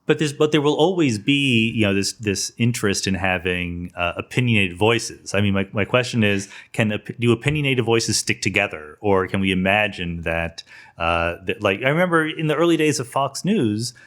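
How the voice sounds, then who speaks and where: Low-pitched man interviewing. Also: animated, moderate pace, fairly bright tone. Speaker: podcast host and interviewer. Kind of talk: conversational interview.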